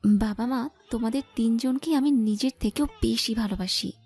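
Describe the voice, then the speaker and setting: Audio drama ingenue, female voice actor: high and mellow, sweetly earnest, independent-minded, faint music beneath. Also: moderate pace, breathy edge. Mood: earnest, affectionate. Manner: affectionate, earnest. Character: sweet, eager, independent young daughter.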